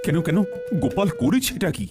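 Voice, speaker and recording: A man's voice: high-pitched, expressive, fairly quick, music in the background. A man voicing a gullible, easily alarmed king in a cartoon, exclaiming and repeating his words. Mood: worried.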